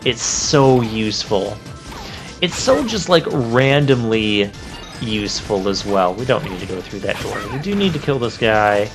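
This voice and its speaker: A man's voice: on the low side, lively intonation, measured pace. A man giving casual let's play commentary on a video game, chatty and informal, thinking aloud as he plays. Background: game music and crashing effects.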